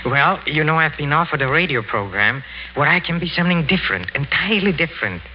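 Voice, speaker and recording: A man guesting on a 1940s radio sitcom, speaking in a soft, sinister-polite way. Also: fairly high pitch, animated, fast, a little hiss or hum. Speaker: guest star playing himself. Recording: dull old radio broadcast.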